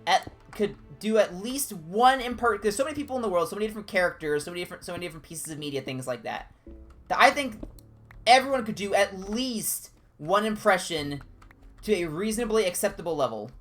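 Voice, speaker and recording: A man with a higher-pitched voice, chatting casually and discursively as a game streamer. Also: quick pace, soft background music. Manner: animated, discursive, jumping between tangents. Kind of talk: casual rambling livestream chat during gameplay.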